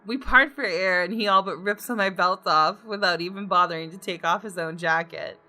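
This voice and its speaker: Jaded, confessional woman narrator, bright voice, read by a woman. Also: fairly low pitch, moderate pace. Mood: bitter. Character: jaded, guilt-ridden woman narrating her troubled love life. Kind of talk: first-person visual novel narration read aloud. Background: guitar game music.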